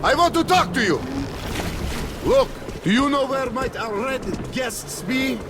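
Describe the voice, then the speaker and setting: High-voiced, blunt station boss. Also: male voice, animated, a little slow, noisy background. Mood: warning. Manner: blunt, emphatic. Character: gruff, exasperated male station boss wary of trouble. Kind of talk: video game voice acting.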